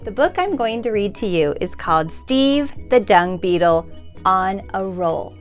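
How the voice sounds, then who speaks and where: A woman's voice: medium pitch, animated, measured pace, soft background music. A woman presenting a read-aloud for children as a storytime host.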